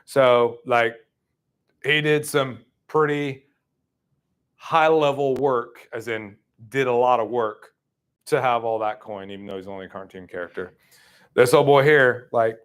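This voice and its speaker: A man's voice: medium pitch, fairly bright tone, smooth. A man in his thirties giving a live sales training talk in a room, casual and chatty with many fillers.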